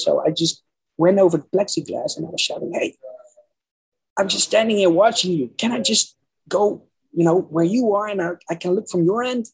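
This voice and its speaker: A man's voice: on the high side, darkish tone, slightly rough, poor audio. A man in his forties with a Dutch accent, speaking English as a second language, casually telling personal stories as an interview guest and often repeating words as he searches for them. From Netherlands. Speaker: interview guest recounting his musical past.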